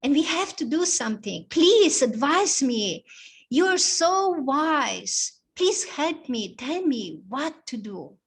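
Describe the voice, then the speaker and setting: An emotional queen whose feelings run high and spill into every exclamation, as a woman storyteller acts her out. Her voice is high and lifted above the narration, with measured, unhurried phrasing. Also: big pitch swings, exaggerated voice. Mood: pleading. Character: emotional young queen, easily swayed.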